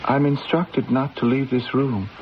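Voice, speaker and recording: An actor playing a nervous, deferential prison guard in a radio drama, hesitant and respectful. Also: male voice, mid-range voice, steady tone, measured pace, lightly acted. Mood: nervous, gentle. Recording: muffled old radio recording, faint noise.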